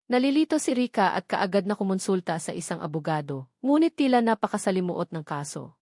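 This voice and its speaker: A woman's voice: medium pitch, sing-song, brisk, a little mellow, no echo, clean studio sound. A woman reading a scripted romance story as a dramatic narrator.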